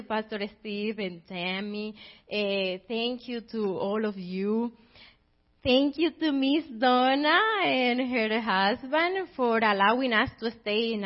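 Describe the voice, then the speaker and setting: A Colombian woman in her thirties with a Colombian Spanish accent, speaking as a missionary at church, halting with fillers and laughing. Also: a little high, highs cut off. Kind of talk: church testimony.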